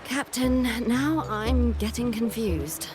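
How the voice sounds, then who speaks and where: An actress playing an expressive, unlucky young woman in a video game, with a dramatic, plaintive manner. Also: fairly high pitch, slow. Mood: flustered. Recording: music behind the voice.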